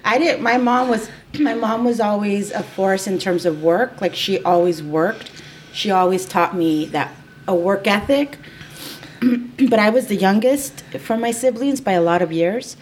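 A woman's voice: medium pitch, a little slow, slight background noise. A woman who grew up speaking Spanish at home, hosting a podcast in a chatty, encouraging way with many affirmations. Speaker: podcast host, employment attorney. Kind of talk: conversational interview.

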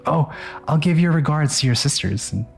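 A man's voice: a little high, animated, creaky, a little mellow, husky, soft background music, heavily acted. An actor in an audio drama playing a cold, condescending crime-family rival who mocks and draws out his contempt. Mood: smug. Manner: mocking, drawn-out, contemptuous.

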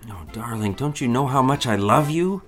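An actor playing a devoted, proud young fiancé who is wounded, in a romantic period audio drama, with polite, emotive, halting delivery. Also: male voice, medium pitch, expressive, close to an everyday voice. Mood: pleading, adoring.

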